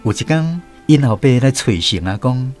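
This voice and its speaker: A man's voice: medium pitch, animated, normal speed, faint music behind. A man with a Taiwanese accent narrating a scripted first-person story for a radio drama.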